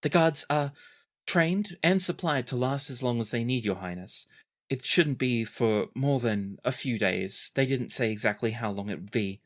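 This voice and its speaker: A woman's voice: extremely low pitch, moderate pace. A woman voicing a nervous, apologetic military clerk in a tabletop game, hesitant and formal. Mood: nervous, evasive.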